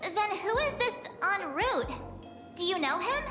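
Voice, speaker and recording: A woman's voice: very high voice, sing-song, unhurried, exaggerated voice. A woman voicing a small, childlike, curious mascot creature in a video game. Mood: curious, eager. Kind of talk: cute video game acting. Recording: echoey, reverberant, over music.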